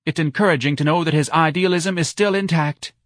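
Audiobook role: man playing overwrought, self-pitying wife, raised high voice, theatrical wail. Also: fairly quick, dry recording, studio quality, close to an everyday voice. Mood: moaning, indignant. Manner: shrill, sobbing, melodramatic wailing. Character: hysterical, self-pitying, overdramatic middle-aged wife. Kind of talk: audiobook character voice.

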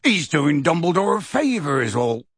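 A man voicing a gruff, defiant but big-hearted half-giant gamekeeper in an audiobook, with a gravelly, husky voice and blunt rustic speech. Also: a little high, sing-song, moderate pace, dry recording, clean studio sound, pitched above the performer's own voice. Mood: scornful.

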